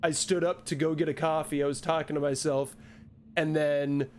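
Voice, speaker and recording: Young male streamer, profane and jokey, slightly high voice, faint background hiss. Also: flat delivery, moderate pace, a little mellow. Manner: jokey, profane, talks to chat. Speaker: gaming streamer. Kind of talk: casual gameplay commentary.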